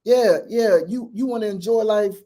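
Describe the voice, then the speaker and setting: A man's voice: sits high, normal speed, dark tone. A man in his fifties speaking earnestly in casual conversation, repeating phrases for emphasis with a lilt. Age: 50s. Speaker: podcast co-host.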